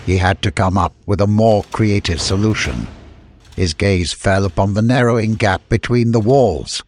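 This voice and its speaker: A man's voice: lowish voice, normal speed, a little mellow. A man reading a dramatic scripted adventure story as an audiobook narrator, with faint background noise.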